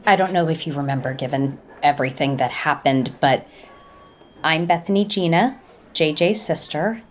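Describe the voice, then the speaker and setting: An actress playing a polite but defensive well-to-do woman in an audio soap, insistently trying to smooth things over. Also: sits low, moderate pace, natural voice. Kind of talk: audio soap opera acting.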